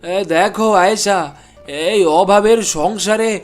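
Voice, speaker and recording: Guy playing poor cartoon villager husband, unusually high voice, weary, soft-hearted, music behind. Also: steady tone, unhurried. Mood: coaxing. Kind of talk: cartoon voice acting.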